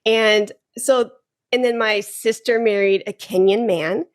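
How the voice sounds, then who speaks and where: A woman hosting a podcast interview, chatting casually with quick enthusiastic reactions. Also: mid-range voice, fairly even intonation. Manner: enthusiastic, warm affirmations. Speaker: podcast host.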